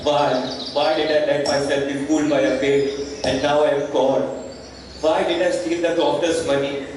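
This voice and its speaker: A man's voice: on the high side, little pitch movement, moderate pace, darkish tone, natural voice. A young man on stage playing a wretched, hungry vagabond peddler, sly and self-pitying. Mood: despairing. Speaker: student actor in a school play. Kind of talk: stage acting in a one-act play. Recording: echoey hall, distant mic, noisy.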